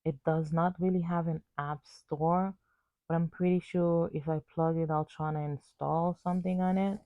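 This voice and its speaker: Narrow phone-like dry sound, androgynous voice, noticeable vocal fry, casual unboxing, short plain hesitant sentences. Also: medium pitch, flat delivery, moderate pace, dry recording. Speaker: amateur product reviewer.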